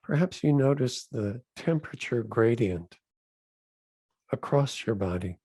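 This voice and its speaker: Man, meditation teacher, unhurried slow delivery, soft dark timbre, gentle deliberate phrasing, dry close sound. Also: medium pitch, expressive, slightly rough, no room sound. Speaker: rabbi leading a guided meditation. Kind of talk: guided meditation instruction.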